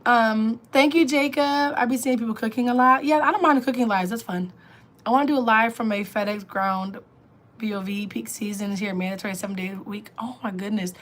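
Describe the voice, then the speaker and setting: Raspy-voiced woman chatting on a livestream. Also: fairly quick. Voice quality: lower alto voice. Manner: chatty, reads and answers comments aloud. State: raspy voice from illness. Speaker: livestream host. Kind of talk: casual livestream chat with viewers.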